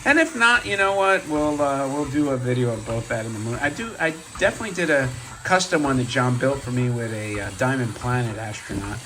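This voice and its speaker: Hoarse man chatting about toys. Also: mid-range voice, expressive, a little fast, bright, forceful tone, slight background noise, poor audio. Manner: chatty and rambling, many fillers and asides. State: hoarse from a cold, voice deeper. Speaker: toy robot collector and channel host. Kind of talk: casual show-and-tell vlog.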